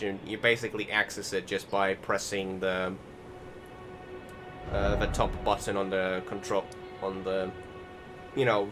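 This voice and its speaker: Rambling young man narrating gameplay. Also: on the low side. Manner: rambling, many fillers and restarts. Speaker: gameplay commentator. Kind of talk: casual voice-over commentary on gameplay. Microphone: desktop recording setup. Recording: game music behind the voice.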